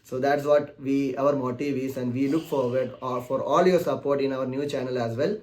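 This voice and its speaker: A man's voice: medium pitch, fairly even intonation, moderate pace, a little mellow, rough recording. A man, a channel host talking directly to his viewers in an earnest, encouraging way with many "uh" fillers. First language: Malayalam. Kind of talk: casual direct-to-camera talk.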